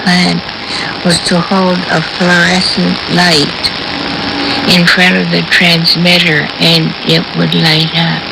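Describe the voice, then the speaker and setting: Slow, creaky elderly woman reminiscing. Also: lowish voice. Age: 80s or older. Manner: hesitant, reflective, halting phrases. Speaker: former radio station staff member and tour guide. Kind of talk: personal reminiscence. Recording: noisy field recording, steady rushing ambience.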